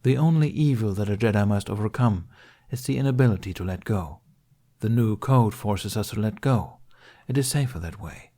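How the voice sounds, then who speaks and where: Man voicing a measured, wise Jedi master. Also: fairly low pitch, mellow. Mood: solemn. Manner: measured, counselling. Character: wise, gentle older male Jedi master. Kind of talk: storybook character voice.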